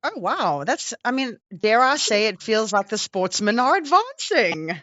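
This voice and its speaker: A woman's voice: a little high, sing-song, unhurried, fairly bright tone, no echo. Dry, slightly dull-sounding woman interviewer, chatty. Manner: informal, playful. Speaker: interviewer, host.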